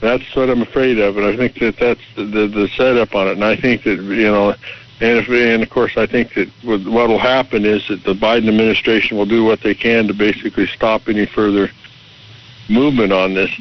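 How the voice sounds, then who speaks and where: An American man phoning a radio show with his opinions, halting and stammering as he repeats his words. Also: lowish voice, steady tone. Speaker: radio phone-in caller. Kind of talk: political opinion call. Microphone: telephone. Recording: muffled call over shortwave radio.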